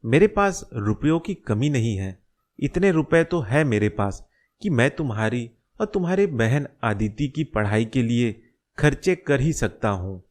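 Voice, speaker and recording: A man voicing a caring, concerned middle-aged father in a cartoon story, giving gentle advice. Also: mid-range voice, expressive, measured pace, lightly acted. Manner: gentle, advising. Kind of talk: Hindi cartoon story dialogue.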